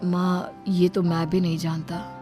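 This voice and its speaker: A woman's voice: on the low side, little pitch movement, unhurried, mellow, faint music behind, voice pushed down, lightly acted. A woman voicing a young husband and dutiful son in a family cartoon, placating and reluctant. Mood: helpless. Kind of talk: Hindi family cartoon acting.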